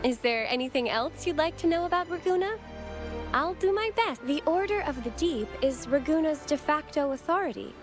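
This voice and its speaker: An actress playing a devout, courteous young female acolyte, speaking formally and reverently in scripted video game dialogue. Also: high voice. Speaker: temple acolyte guide. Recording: game music under the voice. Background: game background music.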